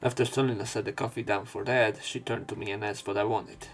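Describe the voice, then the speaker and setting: A low-pitched man narrates a visual novel in a let's play. He reads in a halting way and breaks off for asides, with game music playing clearly behind him. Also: steady tone. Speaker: let's player reading a visual novel aloud. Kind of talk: narration and commentary over a game, reading aloud with asides.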